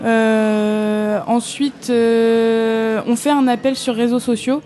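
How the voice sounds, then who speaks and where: Woman, a bit high voice, chatty and laughy, lots of euh. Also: level tone, takes it slowly, warm tone. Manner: laughs often, fillers, explains step by step. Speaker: guest, event organizer. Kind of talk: live podcast chat. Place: convention hall, live audience.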